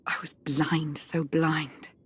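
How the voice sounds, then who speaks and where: An actress playing a composed, dutiful Sith intelligence chief in a video game, proud yet self-reproachful, speaking formally and earnestly. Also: female voice, sits low, little pitch movement, slow pace, husky, exaggerated voice. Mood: regretful resolve. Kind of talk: dramatic video game cutscene acting.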